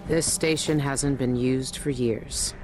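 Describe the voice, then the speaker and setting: An actress playing a weary, resolute assassin who muses to herself in a wry, self-possessed way in a video game. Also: female voice, very deep voice, steady tone, takes it slowly, noisy background. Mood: wistful, determined. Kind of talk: video game cutscene monologue.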